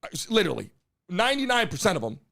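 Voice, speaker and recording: Brash, high-voiced male live-stream host. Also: wildly swooping pitch, very fast, creaky. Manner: hype-man shouting at the chat. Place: home studio in Miami.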